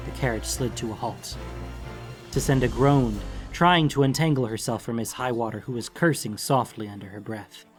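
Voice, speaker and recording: A man reading a scripted fantasy story as an audiobook narrator, in a dramatic storytelling style. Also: medium pitch. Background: background music.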